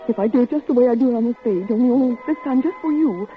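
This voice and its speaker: An actress in a 1950s radio drama playing a frightened but defiant young woman performer, with sharp indignant retorts and nervous pleading. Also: on the high side, very fast, faint music behind, natural voice. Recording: tinny old radio broadcast with faint hiss.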